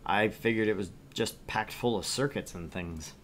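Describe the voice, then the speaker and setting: A man's voice: lowish voice, moderate pace, slight background noise. A man streaming a visual novel, reading its narration aloud and chatting casually about it. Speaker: streamer and reader.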